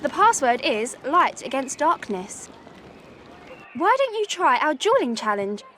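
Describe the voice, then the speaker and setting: A woman's voice: high-pitched, big pitch swings, unhurried, fairly bright tone, slight background noise, full character voice. An actress playing an eager, friendly schoolgirl club captain in a chirpy video game style. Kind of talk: video game character acting.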